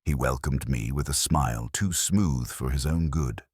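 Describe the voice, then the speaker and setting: A man's voice: extremely low pitch, moderate pace, a little mellow, breathy edge, dry recording, studio quality. A man narrating a scripted first-person detective story in a wry, hard-boiled way.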